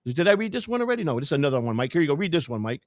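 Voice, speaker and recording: A middle-aged man with a Chicago accent co-hosting a casual livestream chat, halting and repeating himself as he talks. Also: a little high, big pitch swings, dry recording, studio quality. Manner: halting, repeats words and restarts often. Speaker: co-host.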